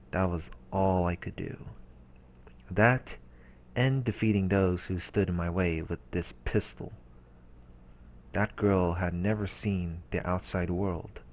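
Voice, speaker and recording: A man reading visual novel text aloud as a let's play host, in a narrating style. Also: sits low. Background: soft game music.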